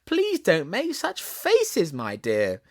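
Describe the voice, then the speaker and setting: A bright little storybook girl, acted out by a man, curious and giggly. The voice is lifted high and swoops about, excitable and full of exclamation. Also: slow, no room sound, studio quality, exaggerated voice. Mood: amused, giggly.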